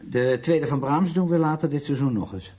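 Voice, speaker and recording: An actor playing a conceited, sardonic conductor with a self-important, dismissive manner in a radio play. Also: male voice, fairly high pitch, moderate pace, mellow, close to an everyday voice. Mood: scornful, boastful. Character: conceited, sardonic male orchestra conductor, blunt and worldly.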